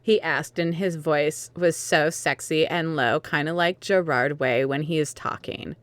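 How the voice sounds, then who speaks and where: Woman, deep voice, dry close sound, group dramatic reading narrator. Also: fairly even intonation, measured pace, no echo. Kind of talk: dramatic reading of fan fiction with amused commentary.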